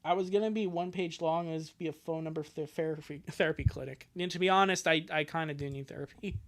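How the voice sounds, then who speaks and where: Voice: medium pitch, fairly even intonation, quick pace. A livestreamer reading a fan fiction comic aloud live, with sarcastic, exasperated, often crude joking commentary. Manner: sarcastic, exasperated, breaks into outbursts.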